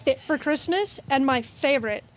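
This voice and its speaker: A woman's voice: high-pitched, lively intonation, moderate pace. A woman chatting in a conversational style, as a performer in an audio play.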